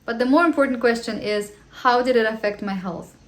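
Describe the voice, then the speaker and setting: A woman's voice: fairly high pitch, brisk. Ukrainian-accented woman, chatty Q&A vlogger. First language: Russian. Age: 30s. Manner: chatty and earnest, with the odd filler and slightly non-native phrasing. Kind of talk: casual Q&A monologue.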